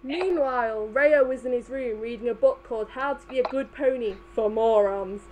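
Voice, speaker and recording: A woman's voice: a little high, normal speed, background noise. A woman reading a fan story aloud as an amateur narrator. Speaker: fan fiction reader.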